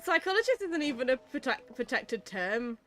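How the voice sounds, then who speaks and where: A woman's voice: sits high, sing-song, normal speed, bright, forceful tone, faint music behind. A woman with a British accent giving casual, chatty, wry commentary while playing a game. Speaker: psychologist streaming a game.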